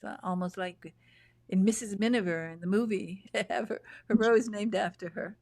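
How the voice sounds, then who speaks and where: A woman's voice: medium pitch, fairly even intonation, normal speed, gravelly, darkish tone, dry recording, clean studio sound. A woman host, living in Texas, chatting and reminiscing effusively while she interviews an author. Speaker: show host. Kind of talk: casual interview chat. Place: home in Texas. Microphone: video call.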